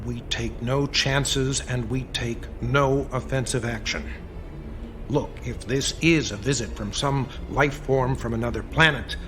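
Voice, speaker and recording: A man's voice: medium pitch, noisy background, lightly acted. A man acting in a radio drama as a US president in a crisis, commanding and cautious, with a firm, authoritative manner. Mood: grave, measured.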